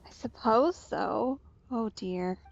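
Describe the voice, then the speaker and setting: A woman's voice: a little high, slow pace, vocal fry, lo-fi sound. A woman streamer giving casual, understated and slightly hesitant commentary on a game.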